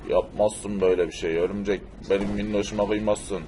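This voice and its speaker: A man playing a gruff, plaintive fellow who fusses over his beloved cars in an amateur cartoon dub. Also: on the low side, level tone, a little fast, dark tone, slight background noise, close to an everyday voice. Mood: alarmed. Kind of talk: amateur cartoon-style game video dub.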